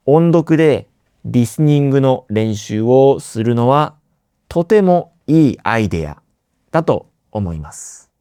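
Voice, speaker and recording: Man, language teacher, very slow and deliberate, mellow voice, dry close mic. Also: medium pitch, clean tone, no echo. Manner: explains words in simple terms, playful asides. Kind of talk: slow, clear podcast lesson for learners.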